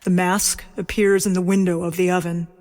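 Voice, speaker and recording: A woman narrating a scripted horror audio drama in measured, short present-tense statements. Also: fairly low pitch, flat delivery, noisy background. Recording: loud music under the voice. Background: loud music.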